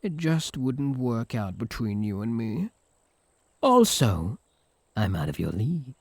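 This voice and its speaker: A man's voice: mid-range voice, very animated, slow, no room sound, exaggerated voice. A man with a British accent playing a smug, teasing, possessive incubus in a comic audio roleplay. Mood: mocking, amused. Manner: mocking, flirtatious banter.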